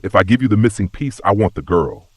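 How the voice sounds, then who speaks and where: A man voicing a sly, mocking serpentine trickster who makes deals, with a calm, smug Australian drawl, in a narrated story. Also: lowish voice, fast talker, slightly rough, no echo, pitched above the performer's own voice. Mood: sly, taunting. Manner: drawling, purring, smugly calm.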